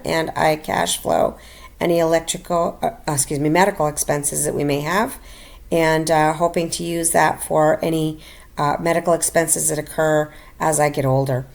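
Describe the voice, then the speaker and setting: Slightly raspy, gravelly middle-aged woman. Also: fairly low pitch, a little mellow. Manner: halting, many ums and restarts. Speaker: personal finance vlogger. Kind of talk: casual voiceover narration over a screen recording. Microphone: home voiceover mic.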